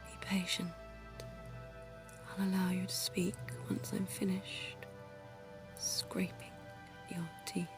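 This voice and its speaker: A woman voicing a suave, centuries-old vampire dentist in an ASMR roleplay, whispering softly with genteel, teasing charm. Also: on the low side, completely flat delivery, music in the background. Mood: soothing. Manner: soft whispering, slow and intimate; genteel and teasing, quiet chuckles.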